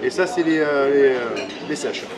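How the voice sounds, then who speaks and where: A man's voice: on the high side, normal speed, background noise. A man working as a waiter, presenting the fish to customers in a plain, listing way. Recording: loud crowd chatter behind.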